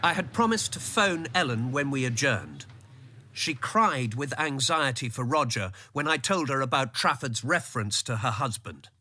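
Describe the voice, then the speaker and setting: Dry-sounding, bright male narrator. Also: mid-range voice, animated, moderate pace, no room sound. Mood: troubled. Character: observant political insider recounting events, sober and discreet. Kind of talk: scripted radio drama narration.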